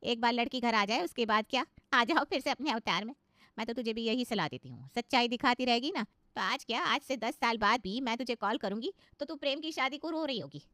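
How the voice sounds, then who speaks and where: A woman's voice: a little high, lively intonation, fairly quick, bright, forceful tone, no echo, studio quality. A woman voicing a boastful, gossipy middle-aged aunt in a cartoon story, chatty and knowing. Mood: boastful, advising.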